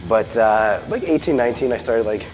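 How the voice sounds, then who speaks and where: A man's voice: lowish voice, fairly quick, darkish tone. A man in his thirties chatting casually and rambling with lots of fillers while working out in a gym. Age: 30s. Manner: rambling, filler-heavy, upbeat. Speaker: podcast host working out. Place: large echoey gym. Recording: echoey gym with music behind.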